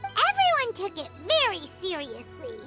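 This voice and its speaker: A woman voice acting a tiny, childlike, excitable fairy companion in a video game, squeaky and exclaiming. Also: moderate pace, over loud music, heavily acted. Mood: earnest.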